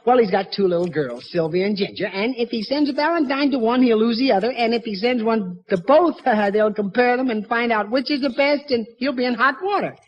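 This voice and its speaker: A man playing a flustered, bumbling middle-aged family man in a 1940s radio comedy, hesitant, stammering and excitable. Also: extremely high pitch, brisk, hardly any pauses, muffled recording. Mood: sheepish. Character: flustered, bumbling suburban husband and father, office employee. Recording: noisy old 1940s radio recording, audience.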